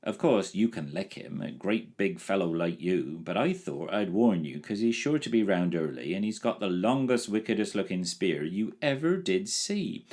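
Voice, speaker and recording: Man reading as an excitable storybook boy, high and animated. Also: moderate pace, few pauses. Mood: eager. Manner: earnest, pleading. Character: eager, excitable young boy.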